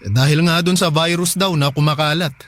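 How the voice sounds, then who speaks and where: A man's voice: fairly high pitch, fairly even intonation, brisk, fairly bright tone, close to an everyday voice. A man playing an earnest, polite young husband in a Tagalog radio drama. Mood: worried. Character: earnest young working husband, devoted and anxious.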